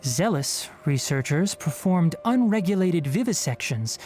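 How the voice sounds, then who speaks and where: A man playing a calm, earnest young fox-eared ranger scholar in a video game, speaking in an articulate, measured, lecturing way. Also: on the high side, soft background music. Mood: earnest, explanatory. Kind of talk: scripted video game dialogue.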